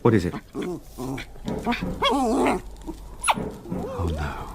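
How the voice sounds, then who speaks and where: An actor playing an uneasy, educated solicitor in a gothic radio drama, with measured, articulate, old-fashioned speech. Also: male voice, low voice, wildly swooping pitch, very noisy. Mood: alarmed. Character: uneasy, educated male solicitor recounting a haunting.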